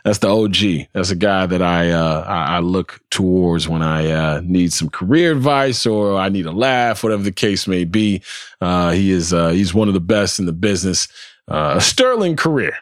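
A man's voice: low-pitched, lively intonation, normal speed, no room sound, studio quality. An American man hosting a sports podcast, talking casually and bluntly, with slang, swearing, jokes and phrases repeated for emphasis. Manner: blunt, joking, slangy and profane. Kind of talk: casual opinionated sports talk.

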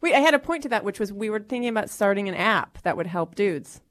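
A woman's voice: medium pitch, fast talker. Nasal, flu-stuffed comedic woman. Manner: expressive, joking, acts out quotes. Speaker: guest co-host, comedian. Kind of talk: casual chat with a friend.

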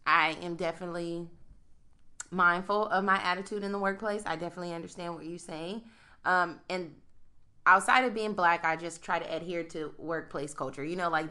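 A young woman co-hosting a podcast, telling a work story in casual chat with a strong voice, acting out both sides of a phone call. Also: lowish voice. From United States. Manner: animated storyteller, acts out phone calls. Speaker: podcast co-host.

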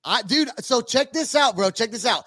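A man's voice: very high voice, lively intonation, normal speed, fairly bright tone, breathy edge, no room sound, clean studio sound. A man from California hosting a casual rock podcast, talking in a slangy, profane, informal way. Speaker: podcast host.

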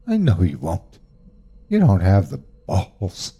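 A man's voice: low-pitched, wildly swooping pitch, slow, mellow, slightly rough, highs cut off, full character voice. A man voicing a fierce, protective mother who curses at her husband and speaks tenderly to her sons. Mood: defiant. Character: fierce protective mother, middle-aged woman.

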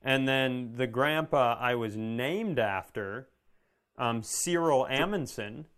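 A man's voice: mid-range voice, expressive, slow, a bit bright. A man co-hosting a comedy podcast, telling rambling, wry stories in casual chat.

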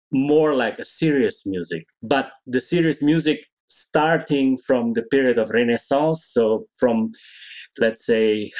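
A middle-aged man from Indonesia, an orchestra conductor, answering interview questions thoughtfully and pausing often to find his words. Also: mid-range voice, a little mellow, rough recording. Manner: thoughtful, searching for words, many pauses.

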